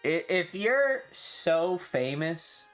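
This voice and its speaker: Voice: medium pitch, very animated, drawn-out words, faint music behind. A streamer chatting casually and live, rambling and opinionated, swearing freely and complaining with playful exaggeration. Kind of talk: casual livestream chat.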